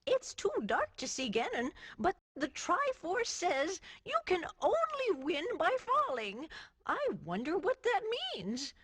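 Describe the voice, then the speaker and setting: High-voiced plucky cartoon princess. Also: female voice, wildly swooping pitch, slow pace, a bit bright, full character voice. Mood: determined. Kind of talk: 1990s cartoon game cutscene acting.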